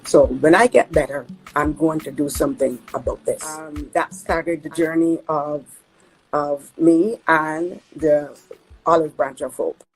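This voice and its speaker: A woman's voice: low-pitched, fairly even intonation, a little slow, darkish tone, breathy edge, faint music behind, rough recording. Earnest Barbadian woman telling her story. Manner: earnest, reflective storytelling with hesitant fillers. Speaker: nonprofit co-founder. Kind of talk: personal testimony.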